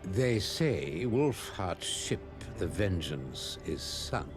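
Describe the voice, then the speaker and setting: An actor playing a grave, portentous storyteller of sea legends in a theatrical video game monologue, speaking deliberately. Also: male voice, sits low, big pitch swings, full character voice. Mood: ominous. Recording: music behind the voice.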